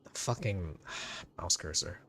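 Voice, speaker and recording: A man giving casual, jokey game playthrough commentary as a streamer, with drawn-out reactions. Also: low voice, sharp tone, a little hiss or hum. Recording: game music behind the voice.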